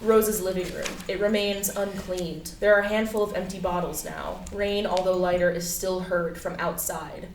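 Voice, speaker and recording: A woman's voice: lowish voice, fairly even intonation, moderate pace, few pauses. A woman giving scripted narration of stage directions at a play reading. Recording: distant mic, echoey room, clear noise.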